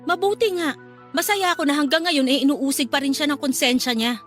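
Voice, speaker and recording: A woman's voice: high voice, brisk, lightly acted. A Filipino woman playing a cold, unforgiving older sister and grieving mother who speaks reproachfully in a radio drama. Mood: bitter. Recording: music under the dialogue.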